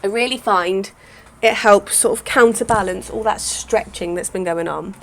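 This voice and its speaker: A woman, chatting casually through a hair-care vlog, a little croaky, rambling with lots of "sort of". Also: medium pitch, moderate pace, fairly bright tone, a little hiss or hum. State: slightly croaky voice. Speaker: hair vlogger.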